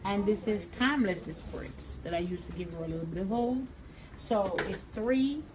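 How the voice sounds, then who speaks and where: A woman's voice: mid-range voice, moderate pace, noisy background. A woman with a Jamaican accent, a hairstylist giving a live tutorial in a salon, talking bluntly and directly. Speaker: hairstylist and educator. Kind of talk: live tutorial while cutting hair. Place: hair salon.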